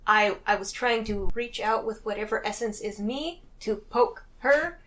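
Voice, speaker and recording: A woman's voice: medium pitch, unhurried, fairly bright tone. A woman chatting casually as a player in a tabletop role-playing game.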